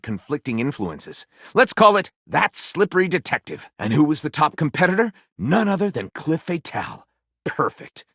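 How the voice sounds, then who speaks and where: A video game's pompous, excitable writer of lurid detective fiction. His voice sits a little high and swings widely in pitch, theatrical and sardonic. It sounds sharp and bright. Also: dry recording, clean studio sound, full character voice. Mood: dryly sardonic. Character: excitable, pompous male author of trashy erotic detective novels. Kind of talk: video game character voice acting.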